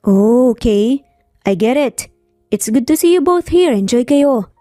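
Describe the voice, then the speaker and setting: A woman's voice: on the high side, animated, unhurried, dark tone, dry recording, studio quality, faint music behind. A woman voicing a jovial, knowing male boss and friend in a romance story, with a Filipino accent. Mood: amused.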